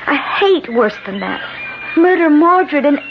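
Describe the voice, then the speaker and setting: An actress playing an anguished, desperate young woman in a 1970s radio drama, with breathless, halting, emotional delivery. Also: sits high, very animated, heavily acted. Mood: alarmed, pleading. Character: anguished, desperate young woman trapped among hated men. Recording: noisy, muffled old radio broadcast recording.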